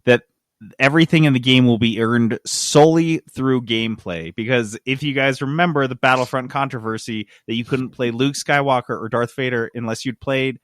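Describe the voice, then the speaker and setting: A man's voice: medium pitch, normal speed, no room sound. Joking man co-hosting, close dry mic. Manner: joking, sarcastic. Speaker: co-host of a gaming podcast. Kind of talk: casual gaming news chat.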